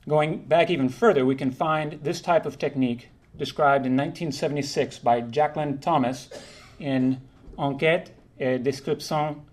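Guy giving a conference talk, reads it out steady and formal, mid pitch, trips on a word now and then. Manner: measured, formal delivery with occasional self-corrections. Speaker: linguistics conference presenter. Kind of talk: reading a prepared academic talk.

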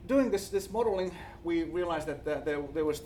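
A man with a Finnish accent gives an academic presentation, speaking haltingly and searching for words. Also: a little high, expressive, warm tone. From Finland. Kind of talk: conference presentation with slides. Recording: distant mic, faint background noise.

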